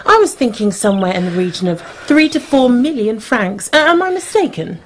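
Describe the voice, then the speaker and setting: An actress playing a cool, mercenary young daughter in a radio drama, dryly and ironically polite. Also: female voice, on the high side, big pitch swings, brisk, a little hiss or hum. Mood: cool, ironic.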